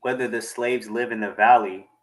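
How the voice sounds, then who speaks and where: Male assistant reader, medium pitch, delivering short phrases from a text. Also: steady tone, normal speed, low-quality recording. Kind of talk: reading short phrases aloud.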